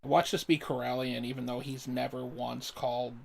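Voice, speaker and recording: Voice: medium pitch, flat delivery, moderate pace, creaky. A game streamer chatting casually and commentating for a livestream, with music underneath. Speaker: game streamer and fandub host.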